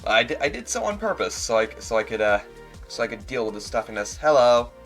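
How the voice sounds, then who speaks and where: A man with a stuffy nose talking casually on a livestream as a streamer, halting and repeating his words as he goes. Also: mid-range voice, big pitch swings, a bit bright, faint music behind.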